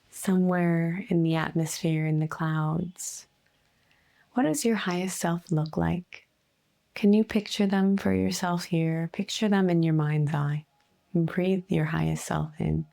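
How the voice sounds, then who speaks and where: A woman leading a guided meditation in a soothing, unhurried, gently instructive way, with breathy phrase endings. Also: fairly low pitch. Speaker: guided meditation host, energy healer. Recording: soft music bed behind voice. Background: faint music.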